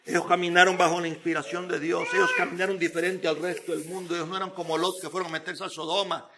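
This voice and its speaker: A male preacher with a notably high-pitched voice delivers a sermon. He speaks emphatically, restating phrases and building his points through repetition and rhetorical questions. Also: little pitch movement, fast talker, background noise.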